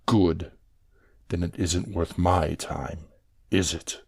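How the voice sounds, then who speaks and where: An actor playing a cold, controlling villain uncle in an audio drama, with a hoarse, raspy voice and a clipped, dismissive manner. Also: sits low, steady tone, slow pace, no room sound, studio quality, heavily acted.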